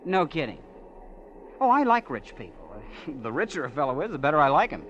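An actor playing a naive, eager small-town schoolboy in a 1940s radio drama, chatty and hesitant, on a muffled old broadcast. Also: male voice, fairly high pitch, sing-song, a little fast, a bit bright, slight background noise. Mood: eager, impressed.

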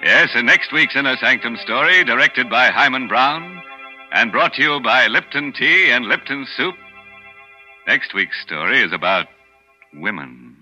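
A man's voice: medium pitch, expressive, measured pace, bright, forceful tone. An actor playing a mocking male horror host who jokes darkly and chuckles, in 1940s radio acting. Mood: sly. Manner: chuckling, teasing, darkly joking. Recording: muffled 1940s radio broadcast with music.

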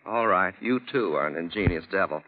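A man's voice: low voice, a little hiss or hum, natural voice. Testy radio official on muffled old recording, male actor. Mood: grudging admiration. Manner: brisk, testy, grudgingly admiring. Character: impatient, gruff male official, detective's exasperated friend. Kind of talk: 1940s radio drama acting.

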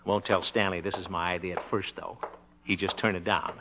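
A man's voice: sits low, little pitch movement, brisk, vocal fry, noisy background. A man playing a self-satisfied, scheming small-town fellow in 1950s radio comedy, blustering and muttering sly asides with chuckles. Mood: smug, muttering. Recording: dull vintage 1950s radio recording.

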